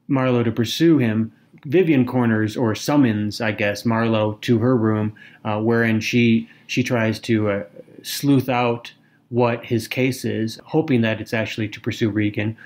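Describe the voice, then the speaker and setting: A man's voice: mid-range voice, darkish tone. A man co-hosting a podcast, analyzing a detective novel in an articulate way with occasional hesitations. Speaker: podcast co-host. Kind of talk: casual book discussion.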